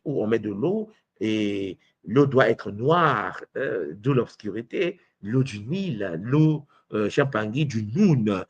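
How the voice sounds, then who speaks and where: A man's voice: medium pitch, no room sound. Emphatic man lecturing, dry sound. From Congo. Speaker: teacher of traditional spirituality. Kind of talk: spoken lecture to an online audience.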